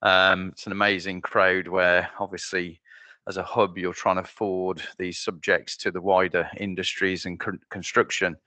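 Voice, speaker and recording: A man giving a conference presentation as a company managing director, loud and joking at his own expense. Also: low voice, little pitch movement, moderate pace, no echo. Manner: loud, jokey and self-deprecating. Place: conference venue.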